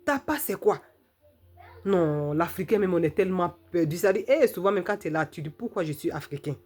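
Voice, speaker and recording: A woman hosting her channel, giving an emphatic, repetitive opinion monologue full of rhetorical questions and drawn-out "mhm"s. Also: lowish voice, animated, darkish tone, breathy edge. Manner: fills pauses with drawn-out "mhm". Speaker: channel host.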